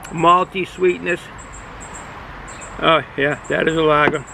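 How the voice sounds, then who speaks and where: A middle-aged man with a Boston accent, casually reviewing a beer outdoors, rambling and halting with many fillers. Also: a little high, steady tone, creaky, sharp tone, a little hiss or hum, poor audio. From Boston area, United States. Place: outdoors, sun in his eyes.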